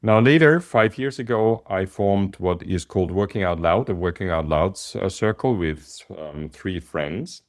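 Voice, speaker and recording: An Austrian man with a German accent speaks in a very low voice. He coaches an online group in a relaxed, unhurried way, stopping often with ums and pauses as he gathers his thoughts. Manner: hesitant, many pauses and ums. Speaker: business coach. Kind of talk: casual talk to an online group.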